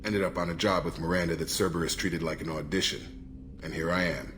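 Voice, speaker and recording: Deep-voiced, earnest military man. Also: steady tone, brisk, fairly bright tone, a little hiss or hum. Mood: earnest, steady. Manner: matter-of-fact, serious. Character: principled ex-military operative, man, steady and earnest. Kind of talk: video game dialogue acting.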